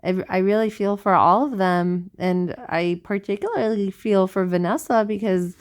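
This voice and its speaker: A woman's voice: medium pitch, normal speed. A woman co-hosting a podcast in casual conversation, talking in an earnest, rambling, musing way. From South America. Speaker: podcast co-host.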